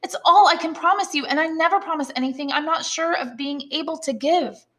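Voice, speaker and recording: A woman's voice: sits high, fairly quick, fairly bright tone, pitched above the performer's own voice. A woman voicing a devoted, firm young woman in love in a storybook reading, speaking earnestly and emphatically. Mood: firm, resolute.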